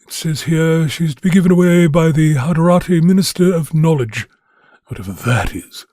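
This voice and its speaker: An actor playing a pompous, grumbling master in an audio drama, dry and condescending, with a husky dark voice. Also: male voice, on the high side, moderate pace, no room sound, natural voice. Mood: dry, scornful.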